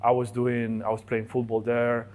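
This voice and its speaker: A young man from Colombia, a podcast guest telling his life story in casual, animated talk. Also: mid-range voice, normal speed. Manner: animated, laughs while telling stories.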